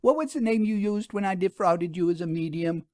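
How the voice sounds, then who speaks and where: Man voicing a strong-willed, probing woman detective, pitched high. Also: fairly quick, darkish tone, no echo, clean studio sound, close to an everyday voice. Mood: wounded, grumbling. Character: Vera, strong-willed woman ghost-hunting detective, grudging, inquisitive. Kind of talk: audio storybook character reading.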